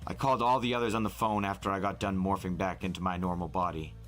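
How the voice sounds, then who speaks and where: A man narrating a first-person novel aloud in an expressive storytelling way. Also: low-pitched, quick pace, faint music behind. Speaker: podcast host reading a novel aloud. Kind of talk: first-person audiobook-style narration with acted dialogue.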